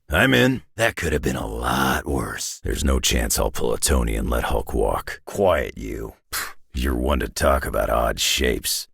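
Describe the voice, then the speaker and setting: A man voicing a gruff, surly middle-aged superhero in a video game, raspy and sardonic with clipped folksy tough talk in a North American accent. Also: extremely low pitch, a bit bright, dry recording, clean studio sound, exaggerated voice. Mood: forceful. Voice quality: gravelly, raspy. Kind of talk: video game character voice lines.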